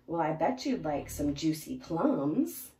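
A woman's voice: deep voice, sing-song, slow, warm tone, voice pushed down, full character voice. A woman voicing a cheerful fruit-loving piranha in a children's storybook, coaxing his friends in an eager, persuasive way. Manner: eager, persuasive, rhyming offers. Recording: roomy, distant mic, faint noise.

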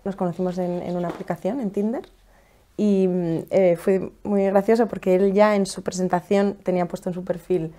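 Woman from la mancha, 30s, lowish warm voice, thoughtful, pauses to hum. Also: steady tone. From La Mancha, Spain. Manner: thoughtful, measured, some humming pauses. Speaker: interviewee. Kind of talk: personal account. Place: living room at home, indoors.

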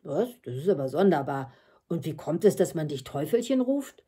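A woman reading a fairy tale as a curious, polite young prince, measured and inquiring. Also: deep voice, sing-song, warm tone, breathy edge, pitched below the performer's own voice, heavily acted. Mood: puzzled.

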